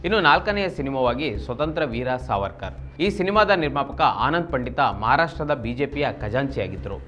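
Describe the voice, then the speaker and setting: A man's voice: medium pitch, expressive, normal speed, pushed and bright, muffled recording, soft background music. A man from Karnataka presenting a scripted political news explainer, formal and expository, addressing viewers directly. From Karnataka, India.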